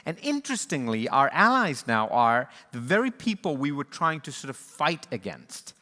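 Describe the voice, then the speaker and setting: Male moderator, earnest and reflective, bright forceful voice with long hall reverb. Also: medium pitch, sing-song, normal speed. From born in Sri Lanka, raised in East and West Africa. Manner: earnest, reflective, with frequent restarts and fillers. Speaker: panel moderator, conservation charity leader. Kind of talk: closing remarks at a livestreamed panel.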